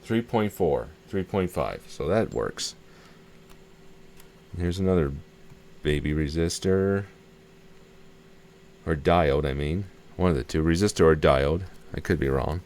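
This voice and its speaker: A man's voice: rumbling low, lively intonation, measured pace, long pauses, a little mellow. A man talking casually and tentatively through a hands-on repair demonstration, thinking aloud and often repeating himself as a hobbyist host. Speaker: hobbyist video host showing a radio repair. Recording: clear background noise.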